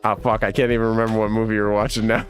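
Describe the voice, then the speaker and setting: A man in his thirties with a rough smoker's voice, casually chatting on a livestream and reading viewer comments, with lots of laughs. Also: lowish voice, little pitch movement, rapid-fire, faint music behind. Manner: laid-back and rambling, laughs often. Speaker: livestream host.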